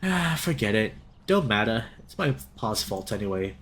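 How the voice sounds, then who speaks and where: A man's voice: on the low side, sing-song, moderate pace, fairly bright tone. Man voicing a folksy, touchy young fox. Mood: defensive.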